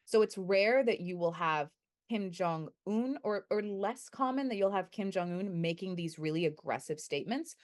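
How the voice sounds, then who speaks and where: A young adult woman answering interview questions as a researcher, thoughtful and measured, sometimes correcting herself. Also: mid-range voice, lively intonation. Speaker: guest researcher.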